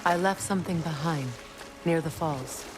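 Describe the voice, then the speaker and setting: An actress playing a proud, resolute warrior goddess in a video game, speaking in a measured, solemn, earnest manner. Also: female voice, sits low, music in the background, lightly acted, rough recording. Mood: somber, determined. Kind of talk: dramatic video game acting.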